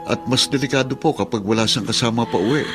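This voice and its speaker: A man's voice: medium pitch, fast, natural voice. An actor playing an apologetic, worried young man in a Filipino radio drama, pleading and hesitant. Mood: respectful, worried. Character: apologetic, worried young man, put-upon loyal cousin. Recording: music under the dialogue.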